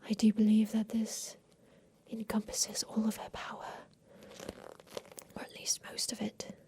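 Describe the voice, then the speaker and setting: A woman playing a doting, eccentric mansion inventor in a soft, deliberate ASMR roleplay with formal, old-fashioned phrasing. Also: on the high side, monotone. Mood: conspiratorial, brooding. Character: doting eccentric mansion inventor, plotting against her captor.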